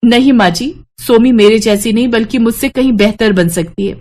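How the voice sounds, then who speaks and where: A woman voicing a kind, patient young daughter-in-law in a cartoon story, gentle and encouraging. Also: a little high, normal speed, natural voice. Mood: earnest. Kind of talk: Hindi animated moral-story voice acting.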